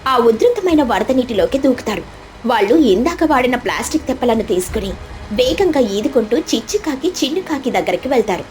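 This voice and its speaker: A woman reading a scripted children's story as storyteller, with dramatic, expressive delivery. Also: fairly high pitch, fairly quick, a little hiss or hum. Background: faint background music.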